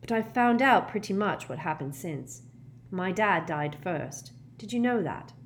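A woman voicing a quiet, determined teenage boy wizard in a storybook, steady and insistent. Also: medium pitch, a little slow, a little hiss or hum. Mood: quiet, matter-of-fact.

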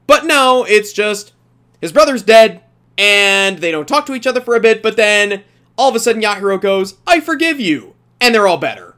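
A man's voice: high-pitched, pushed and bright. A man leads a casual review podcast. He speaks emphatically and with strong opinions. He slips into mock quotations as he retells things. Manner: emphatic, mock-quoting storyteller. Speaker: podcast host leading an anime review.

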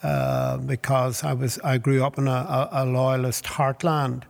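A man's voice: mid-range voice, level tone, creaky, long reverb tail. Older Northern Irish man recalling. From Northern Ireland. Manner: measured, hesitant with fillers and restarts. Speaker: interviewee, Baptist minister. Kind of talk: reflective storytelling.

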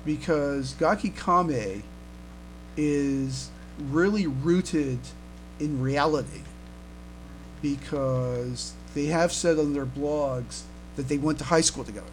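A man hosting a casual podcast discussion, speaking haltingly and repeating words, over a noisy internet call. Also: a little high. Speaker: podcast host.

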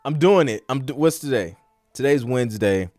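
A man's voice: medium pitch, expressive, normal speed, mellow, no echo, clean studio sound, soft background music. An American man hosting a podcast, chatting casually through a live Q&A in an informal, slangy, rambling way. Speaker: podcast host.

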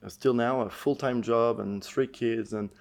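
A middle-aged man from France, a podcast guest telling his story in an earnest, thoughtful way, often pausing to find his words. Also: medium pitch, steady tone. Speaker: podcast guest, endurance athlete. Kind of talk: reflective storytelling interview.

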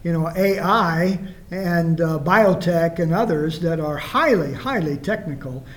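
A man hosting a radio interview at a banquet, asking questions in a halting way with many restarts. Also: a little high. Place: banquet hall.

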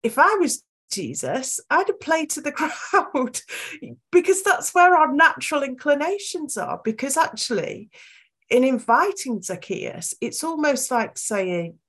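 A woman's voice: sits high, very animated, unhurried, a bit bright. A woman reflecting aloud on a Bible story in a casual discussion.

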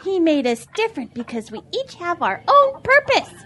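A woman's voice: very high-pitched, huge pitch swings, normal speed, fairly bright tone, exaggerated voice. A woman voicing a sweet, earnest female farm chicken with a sing-song manner in a children's audio drama. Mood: gently instructive.

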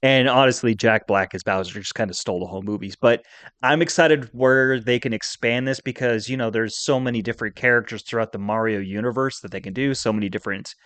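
A man's voice: mid-range voice, quick pace, fairly bright tone, no echo. Enthusiastic, opinionated man on a podcast. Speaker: podcast host. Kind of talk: casual podcast chat.

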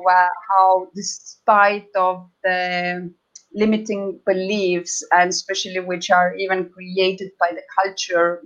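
Woman, Estonian accent, mid-range pitch, hesitant conversational delivery, repeated words and pauses, interview guest, dull lo-fi sound.

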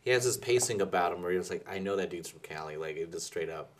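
A man's voice: low voice, fast talker. A man hosting a podcast, chatting casually in a rambling, digressive way and swearing often. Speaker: podcast host. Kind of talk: casual chat.

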